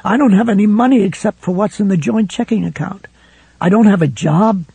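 A man's voice: high-pitched, lively intonation, normal speed, gravelly, mellow, husky, dry recording, exaggerated voice. Brief: scared young mother, pleading through tears, voiced by a male narrator, dry close sound. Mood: frightened. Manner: fretful, pleading, rising with fear. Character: frightened battered young mother, tearful.

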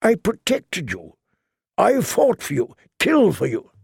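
A man reading an audiobook, voicing a pleading aging male knight who talks haltingly and earnestly, sometimes stammering. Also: sits high, wildly swooping pitch, gravelly, darkish tone, slightly rough, no room sound, clean studio sound, pitched above the performer's own voice, heavily acted.

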